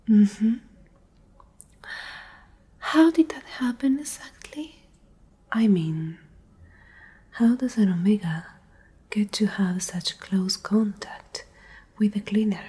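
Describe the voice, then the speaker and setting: A woman's voice: medium pitch, expressive, dark tone, exaggerated voice. Woman acting a whispering, menacing female Alpha leader. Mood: mock-polite probing. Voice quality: breathy, whispery, husky. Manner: slow, condescending, coldly threatening. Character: menacing, domineering female Alpha leader of apocalyptic survivor group. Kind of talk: soft-spoken whispered ASMR roleplay.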